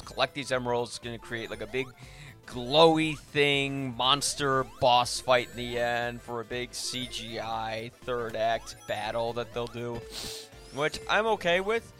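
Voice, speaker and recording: A man hosting a podcast, talking casually about film in an animated, halting way that often repeats words. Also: mid-range voice. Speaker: podcast host.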